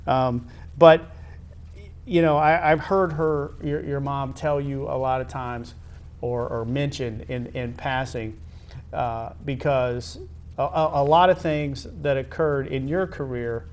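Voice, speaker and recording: A man of medium pitch hosts a conversational sports interview. He words his questions haltingly, rephrasing as he goes, and there is audible background noise around his voice. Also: fairly even intonation. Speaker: interviewer, show host.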